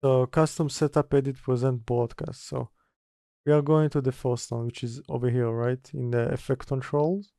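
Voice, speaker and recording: A man presenting an informal software tutorial, halting as he reads steps from notes and mutters through them. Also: medium pitch, steady tone, creaky, no room sound, clean studio sound. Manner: halting, mutters step by step while working. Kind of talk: informal screen-recorded software tutorial, partly read from notes.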